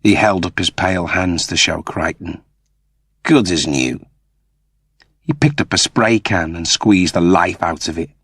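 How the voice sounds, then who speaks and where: A man reading a scripted story as an expressive audiobook narrator. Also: sits low, normal speed, hardly any pauses, slightly rough, no echo, clean studio sound.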